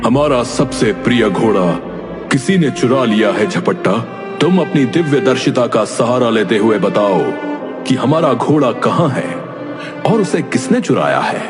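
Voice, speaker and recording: A man's voice: medium pitch, lively intonation, a little slow, lightly acted. A man performs a stern, threatening king for a children's cartoon. His delivery is pompous and imperious, rising and falling widely. His voice rings with long reverb over prominent music. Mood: commanding. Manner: commanding, pompous. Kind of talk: Hindi cartoon voice acting. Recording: long hall reverb, loud music behind.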